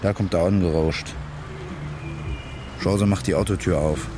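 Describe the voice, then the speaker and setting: A man's voice: sits low, fairly even intonation, measured pace, over loud music. An actor playing a weary, bitter working-class man who narrates his dead-end life in terse, slangy fragments in a radio drama. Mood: weary, sardonic. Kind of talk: radio drama interior monologue.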